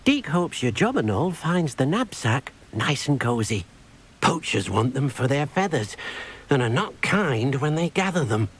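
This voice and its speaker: An actor voicing an earnest, caring male house-elf in a video game, gentle and polite, speaking of himself in the third person. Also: mid-range voice, very animated, unhurried, slightly rough. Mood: caring, careful. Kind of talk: video game character dialogue.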